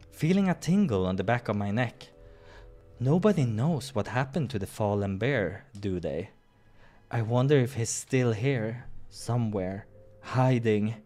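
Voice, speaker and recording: A man hosting a playthrough, reading a visual novel aloud in an expressive way with casual asides. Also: medium pitch. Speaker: let's-play host.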